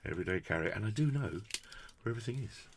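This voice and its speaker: A man's voice: fairly low pitch, animated, fast talker, creaky, noisy background. A British man presenting a casual hands-on product review in a chatty, jokey, effusive way with quaint turns of phrase. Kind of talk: casual hands-on unboxing commentary.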